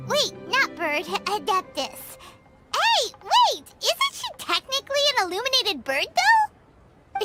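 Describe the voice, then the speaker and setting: A squeaky, excitable little sprite. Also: female voice, full character voice. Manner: chatty, excitable, swooping pitch. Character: tiny floating female companion sprite, nosy and excitable.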